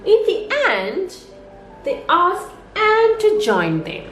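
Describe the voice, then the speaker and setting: High, very animated woman storyteller. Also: slow pace. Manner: sing-song, expressive delivery for young children. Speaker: nursery storyteller. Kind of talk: children's story read-aloud. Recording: roomy echo, music underneath.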